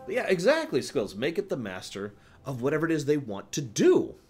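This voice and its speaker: A man's voice: on the high side, huge pitch swings, fairly quick, a little mellow, soft background music. A man hosting a livestream, chatting casually about video games in an emphatic, explanatory way, often checking that listeners follow. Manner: emphatic, explanatory, checks understanding with short tag questions. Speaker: livestream host.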